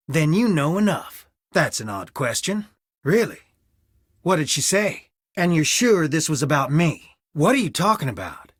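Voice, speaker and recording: An actor playing a weary, guarded young fiancé with a wry, tired manner in a scripted video game. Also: male voice, fairly high pitch, a bit bright, dry recording, studio quality. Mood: defensive. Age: young adult.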